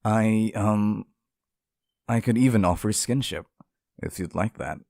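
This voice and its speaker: A devoted demon, acted by a man, speaks slowly and intimately in a low male voice for an ASMR roleplay. He is sweet and protective, yet possessive and faintly menacing. The sound is close and dry. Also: gravelly, a little mellow, no room sound. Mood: shy, tender.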